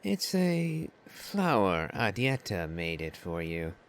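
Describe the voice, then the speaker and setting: A man doing casual playthrough commentary and reading along, in a musing, unhurried way. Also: sits low, big pitch swings, a little hiss or hum.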